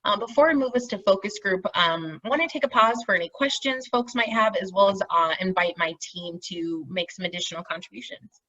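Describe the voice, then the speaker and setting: Bright, husky woman leading a group call. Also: mid-range voice, a little fast, few pauses. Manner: encouraging, explanatory, often checks in with "right?". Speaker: meeting facilitator, team lead. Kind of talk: informal presentation on a group call. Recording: dull, distant video-call audio.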